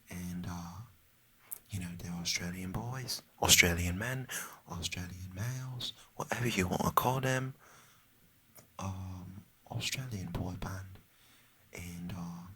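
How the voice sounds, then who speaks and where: An American man putting on a Cockney British accent for a rambling, soft-spoken, partly whispered ASMR chat that repeats and trails off. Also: on the low side, breathy edge. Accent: put-on Cockney British. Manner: meandering, repetitive, often trailing off. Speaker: ASMR video creator.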